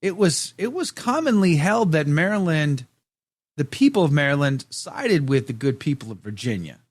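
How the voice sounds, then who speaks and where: A middle-aged man hosts a podcast in a relaxed, joking way, his voice pitched a little high and swinging widely in tone. The recording is close and dry, with no room sound around it. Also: normal speed, no echo. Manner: playful, jokey, reads viewer comments aloud. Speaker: podcast host. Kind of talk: casual chat.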